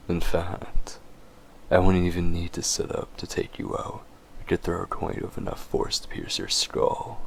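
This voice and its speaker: A man acts out a possessive, teasing vampire in an audio drama. His low voice is gravelly and swings widely as he toys with his prey, often sinking into a murmur. He sounds smug and in full control. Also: a little hiss or hum, full character voice. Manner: slow, taunting, chuckling, often dropping to breathy murmurs. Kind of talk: scripted roleplay audio drama.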